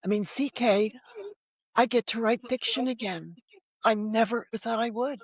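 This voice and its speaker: A woman aged seventy speaks as a guest in a relaxed interview format, sharing personal stories. Her speech is conversational and unscripted, and the sound is dry, with very little room in it. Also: a little high, a little slow, breathy edge, dry recording, rough recording. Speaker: podcast guest, novelist.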